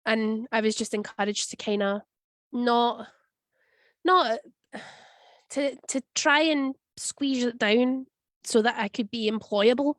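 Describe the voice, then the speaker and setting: Husky-voiced Scottish woman with a cold. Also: a little high, takes it slowly, dry recording. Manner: chatty, wry, tells stories with quoted voices. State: husky voice from a cold, sniffling and coughing. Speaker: guest. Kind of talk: casual interview answers. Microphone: video call.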